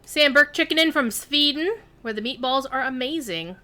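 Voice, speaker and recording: A woman's voice: high voice, moderate pace, sharp tone. An American woman hosting a livestream of an online game, chatting casually with viewers and fellow players. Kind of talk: casual live gaming chat.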